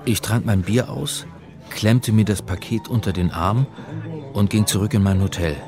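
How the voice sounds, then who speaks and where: An actor playing a reflective man who narrates his own adventure in a radio play, in measured literary style. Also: lowish voice, close to an everyday voice. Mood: matter-of-fact. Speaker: first-person narrator.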